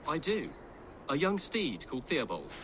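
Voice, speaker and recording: A man's voice: on the high side, wildly swooping pitch, normal speed, background noise. An actor playing an earnest, courteous young nobleman in a video game. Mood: dutiful. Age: young adult. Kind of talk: video game cutscene acting.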